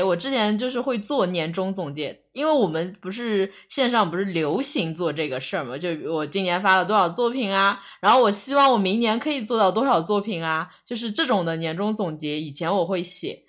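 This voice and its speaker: Halting, chatty woman on a podcast. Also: medium pitch, fairly bright tone. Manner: halting, repeats words as she goes. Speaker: podcast speaker. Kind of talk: casual podcast chat.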